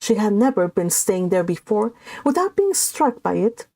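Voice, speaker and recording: A woman hosting a book read-along, talking casually and haltingly, and reading aloud from a classic novel. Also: medium pitch, sing-song, dark tone.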